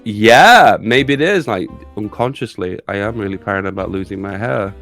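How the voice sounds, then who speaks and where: A man's voice: on the low side, lively intonation, moderate pace, fairly bright tone, faint music behind. A man in his thirties chatting casually on a podcast, self-deprecating and chuckling often. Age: 30s. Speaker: podcast co-host.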